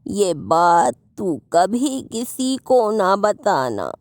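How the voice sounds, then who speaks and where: Slow, solemn old woman acted by a woman. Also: mid-range voice, very animated, a little mellow, no room sound. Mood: gently solemn. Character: kindly slow-speaking old woman with a warning. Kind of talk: animated moral story dialogue.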